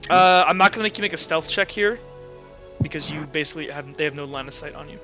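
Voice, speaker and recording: A man's voice: a little high, quick pace, sharp tone, lo-fi sound, faint music behind. A man acting as game master, narrating a tabletop roleplaying game in a casual, slangy way.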